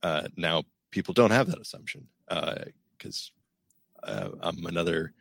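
A middle-aged man, co-host of a podcast, with a deep voice, talking in a rambling, self-deprecating way with many restarts. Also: very animated, measured pace, gravelly, a bit bright, dry recording. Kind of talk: casual podcast chat.

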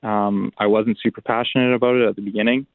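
A young adult man, a football player, answering interview questions by phone in a relaxed, halting way with many restarts and fillers. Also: on the low side, no echo. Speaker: pro football player, guest. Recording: tinny phone line.

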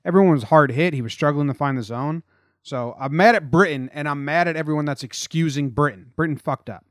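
Higher-voiced American man hosting a sports podcast. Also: brisk, no echo, studio quality. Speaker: podcast host. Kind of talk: casual sports podcast talk.

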